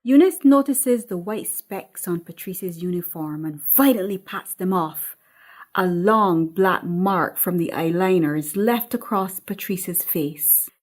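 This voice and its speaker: A woman's voice: on the low side, slow. A woman reading a screenplay aloud as narrator, delivering scene headings and action in scripted narration.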